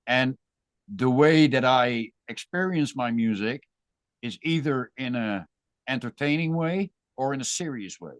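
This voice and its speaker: A man's voice: mid-range voice, animated, a little slow, no room sound. A man with a Dutch accent, a guest musician talking casually and thoughtfully in an interview. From Netherlands. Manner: thoughtful, rambling with restarts.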